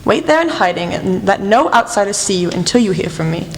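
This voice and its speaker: A woman playing a shrewd, commanding female detective in a radio play, speaking crisply and formally. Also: mid-range voice, animated, brisk, a little hiss or hum, lightly acted. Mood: commanding, determined. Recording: reverberant hall, faint music.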